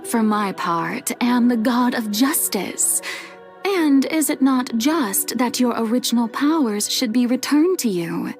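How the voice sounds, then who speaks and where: A woman playing an ancient, self-sacrificing goddess in a video game cutscene, measured and gently teasing, soft and often close to a whisper. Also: fairly high pitch.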